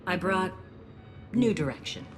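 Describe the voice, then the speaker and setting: An actress playing a principled, stern alien gang leader in a video game, speaking firmly and formally. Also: female voice, lowish voice, animated, a little slow, over music. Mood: curt authority. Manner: firm, formal, authoritative.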